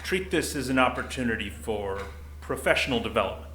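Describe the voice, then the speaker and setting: Man acting a blunt security commander, bright echoey voice. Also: mid-range voice, lively intonation, brisk, close to an everyday voice. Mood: stern. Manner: blunt, dry, bureaucratic. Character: gruff paunchy desk-bound security commander, middle-aged man. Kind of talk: audio drama acting. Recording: roomy echo, faint noise.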